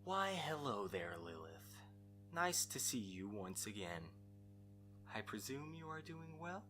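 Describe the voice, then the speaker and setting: A man's voice: mid-range voice, very animated, a little slow, bright, forceful tone, faint music behind. A man acting a courteous, scheming young host in an amateur audio drama, with a theatrically polite manner.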